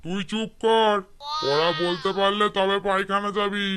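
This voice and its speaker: A man's voice: high-pitched, steady tone, moderate pace, background noise, close to an everyday voice. A man voicing an irritable, gullible village schoolteacher in a comedy cartoon, with a scolding manner. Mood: stern. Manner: scolding, exasperated.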